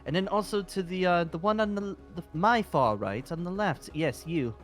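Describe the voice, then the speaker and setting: Guy doing polite ancient guild leader in a fantasy roleplay, kinda high voice, flustered, hesitant, really animated. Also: normal speed, faint music behind. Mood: curious. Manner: hesitant, apologetic, placating. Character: polite, flustered centuries-old dragon slayer guild leader. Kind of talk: improvised fantasy roleplay acting.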